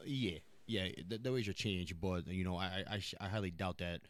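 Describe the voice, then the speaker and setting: A man playing a gruff, profane New York mafia boss in improvised online roleplay, emphatic and repeating himself. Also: sits low, a little fast, close to an everyday voice. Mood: guarded.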